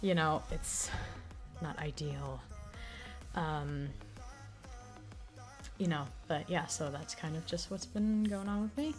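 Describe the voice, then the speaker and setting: A woman streamer chatting casually with her viewers, rambling with many fillers, her throat still slightly raspy from an illness. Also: sits low, slow pace, long pauses, faint music behind. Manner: rambling, full of fillers and restarts. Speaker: streamer and audio creator. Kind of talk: casual livestream chat.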